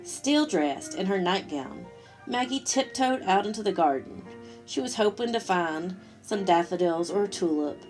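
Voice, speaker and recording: A woman storyteller reading a picture book aloud to children in an expressive way, talking directly to her listeners. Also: medium pitch, moderate pace, soft background music, poor audio. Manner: expressive, asks the listeners questions.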